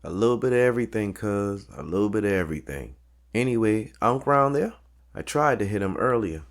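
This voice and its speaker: A man playing a low-key, guarded streetwise neighborhood guy in a crime audio drama, laconic and casual with slangy phrasing. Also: lively intonation, a little fast.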